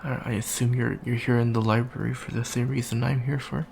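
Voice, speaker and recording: Gravelly, awkward young alien student voiced by a man. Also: medium pitch, flat delivery, brisk, darkish tone, a little hiss or hum, close to an everyday voice. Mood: awkward, chatty. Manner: hesitant, stammering, awkward small talk. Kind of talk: improvised ASMR roleplay, casual chat.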